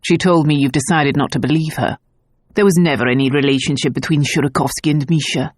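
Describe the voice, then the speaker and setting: A man's voice: a little high, fairly even intonation, fairly quick, no room sound, studio quality, close to an everyday voice. A man reading an audiobook, voicing a proud, bitter nobleman who speaks in a cool, cutting way. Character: Nicholas, a proud, bitter Russian prince.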